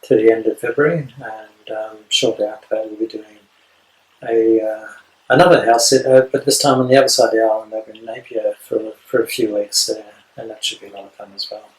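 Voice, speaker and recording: An Australian man in his late fifties talking about house sitting, rambling with many pauses and dry jokes. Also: on the low side, flat delivery, reverberant, poor audio. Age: 50s. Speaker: house sitter. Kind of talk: casual self-introduction video.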